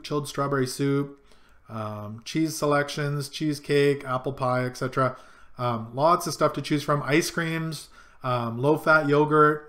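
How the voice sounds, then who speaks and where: A man in his thirties, his voice sitting a little high for a man, talks through a how-to from a small home office. He ambles through lists of items with casual ums. The sound is plain webcam audio from his desk. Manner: relaxed, listing items with frequent ums. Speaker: cruise vlogger. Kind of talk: casual screen-share tutorial.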